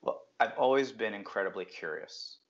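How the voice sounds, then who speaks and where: A man's voice: fairly low pitch, normal speed. A middle-aged man from New Jersey, talking casually as an interview guest with many filler words. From New Jersey, USA. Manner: reflective, frequent um fillers. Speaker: guest, brand strategist. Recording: dull lo-fi audio.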